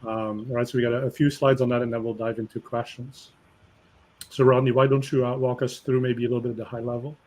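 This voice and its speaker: Male host, mid-pitch, upbeat and chatty, fillers here and there. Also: fast. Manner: energetic, conversational, with fillers. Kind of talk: webinar hosting.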